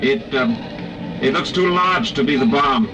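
An actor playing a nervous, halting male doctor of science in a classic radio drama. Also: mid-range voice, lightly acted. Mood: alert. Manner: hesitant, halting. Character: uneasy, hesitant bomb-disposal scientist. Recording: noisy, distant old radio drama recording.

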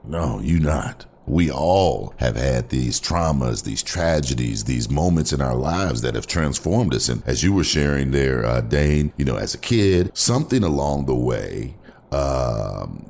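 A man hosting a podcast with a guest, talking in an emphatic announcer style with quick affirmations. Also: rumbling low, animated, creaky, a little mellow. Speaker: podcast host. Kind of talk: casual podcast talk with a guest.

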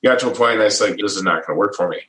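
A man's voice: fairly low pitch, rapid-fire, fairly bright tone, reverberant. A middle-aged man from the American Midwest telling his story in a casual interview, easygoing and chuckling often, restarting his phrases as he goes. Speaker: guest.

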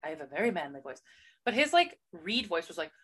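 A woman's voice: fairly low pitch, very animated, fairly quick, a bit bright. A young woman co-hosting a casual book podcast, chatty and sarcastic, mimicking characters as she talks. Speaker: podcast co-host. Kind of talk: casual chat about books.

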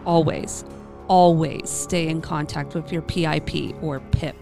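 A woman playing a brisk demon hunter. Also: low-pitched, steady tone, creaky, mellow. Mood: determined. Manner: brisk, commanding. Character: Maeve, a tough paranormal hunter woman. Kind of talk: radio play acting. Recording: audio drama over a music bed.

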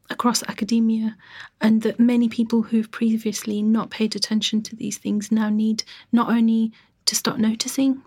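A woman historian giving measured, emphatic answers in an interview. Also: a little high, a little mellow, breathy edge. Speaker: historian, guest.

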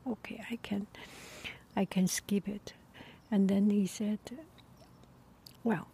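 A woman softly whispering a personal story, halting often with pauses and fillers. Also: medium pitch, vocal fry, slightly rough.

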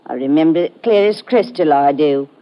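A woman's voice: low-pitched, moderate pace, close to an everyday voice. Woman playing a chatty old Cockney landlady. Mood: gossipy. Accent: London Cockney. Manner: folksy, rambling, calls people dearie. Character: gin-tippling, chatty, motherly older London landlady. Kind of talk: old-time radio drama acting. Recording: dull vintage radio recording.

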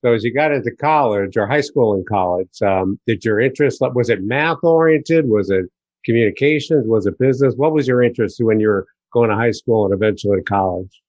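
A man's voice: fairly low pitch, fairly quick, a little mellow, dry recording. Male interviewer with slightly dull audio. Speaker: interviewer, host. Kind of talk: conversational business interview.

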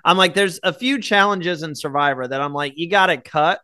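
Bright-voiced chatty man. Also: a little high, fairly quick, dry recording, clean studio sound. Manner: chatty, opinionated. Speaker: podcast co-host. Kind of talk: casual chat.

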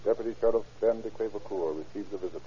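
A man's voice: fairly low pitch, rapid-fire, warm tone, slight background noise. Formal man announcing on muffled old radio. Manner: formal, emphatic, polished delivery. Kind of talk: 1930s radio announcing and ad read. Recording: band-limited old radio broadcast recording.

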